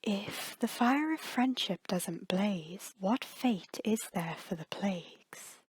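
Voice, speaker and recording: A woman's voice: medium pitch, lively intonation, slow, a little mellow, slight background noise, low-quality recording. A woman narrator reading a scripted story in a dramatic storytelling style.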